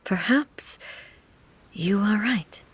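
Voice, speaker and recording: An actress playing a composed, dignified and kindly ruler in a video game, speaking in a measured, reassuring way. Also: female voice, medium pitch, slight background noise. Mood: pensive.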